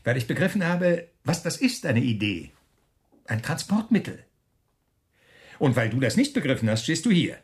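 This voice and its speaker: Menacing SS officer, radio play, mocking, highly animated intonation, mid-pitched, smug, drawn-out taunts. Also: male voice. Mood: boastful, contemptuous. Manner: mocking, chuckling, drawn-out taunting questions and hesitant quoting. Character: smug, menacing male SS officer toying with a prisoner. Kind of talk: German radio play acting.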